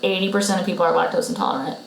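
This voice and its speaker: A woman's voice: on the low side, flat delivery, racing, gravelly. A woman co-hosting a podcast, giving blunt health advice in a casual, emphatic way and repeating her key points. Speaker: podcast co-host, patient. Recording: roomy echo with faint noise.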